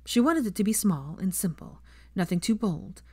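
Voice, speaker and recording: A woman's voice: medium pitch, expressive, normal speed, a little mellow, slightly rough, dry recording. A woman narrating a scripted story as an audiobook reader.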